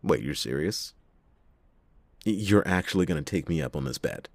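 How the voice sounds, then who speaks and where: A man playing a cynical, reluctant, hard-drinking private detective in a noir ASMR roleplay, with a husky, gravelly voice and sardonic, theatrical delivery. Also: sits low, expressive, no room sound, full character voice. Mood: exasperated, sardonic. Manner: sardonic, theatrical, halting speech with drawn-out emphasis. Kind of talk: ASMR detective roleplay, acted monologue to listener.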